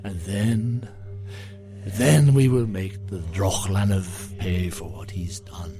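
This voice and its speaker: Slow-spoken, vengeful ancient evil piper. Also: male voice, sits low, fairly even intonation, dark tone. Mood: menacing. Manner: theatrical menace, drawn-out threats. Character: vengeful evil ancient piper, tenderly obsessed with his flute. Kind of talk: audio drama acting. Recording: music under the voice.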